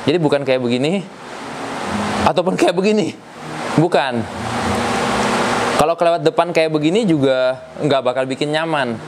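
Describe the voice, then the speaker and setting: Man explaining, with clear background noise. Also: on the high side, moderate pace, pauses often. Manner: explanatory, conversational, repeats key phrases for emphasis. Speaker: cycling coach giving tips. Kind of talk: casual instructional demonstration. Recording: clear ambient hum and vehicle noise.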